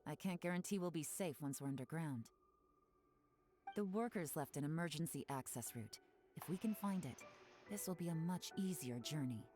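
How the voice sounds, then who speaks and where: A composed, commanding woman spy gives orders in a low, cool voice. Faint game music sits behind her. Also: lively intonation, normal speed, darkish tone. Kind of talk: video game voice acting.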